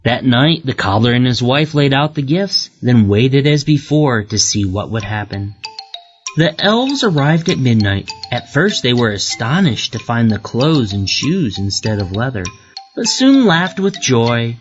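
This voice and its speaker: A storyteller reads for young children with very lively, swooping intonation. The delivery is scripted, expressive and deliberate. The sound is a little dull and lo-fi, with music clearly behind. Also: mid-range voice. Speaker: storybook narrator. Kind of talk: scripted children's read-aloud narration. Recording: muffled lo-fi audio with music behind.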